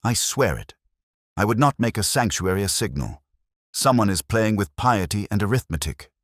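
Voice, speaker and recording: A man's voice: on the low side, lively intonation, moderate pace, dry recording, clean studio sound, pitched above the performer's own voice. A man voicing an earnest, anxious curate who pleads formally in an audio mystery story. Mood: stricken, protesting. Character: earnest, anxious curate protesting his innocence.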